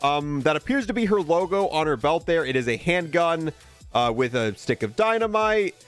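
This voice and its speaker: Higher-pitched man doing casual game commentary over music. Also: expressive, moderate pace. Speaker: gaming video host.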